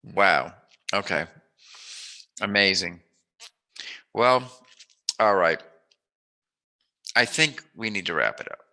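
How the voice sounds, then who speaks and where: A man's voice: deep voice, expressive, slow pace, pauses often, bright, forceful tone. A man hosting an interview in a casual, joking way, with a playful, rambling, musing manner. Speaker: interview host.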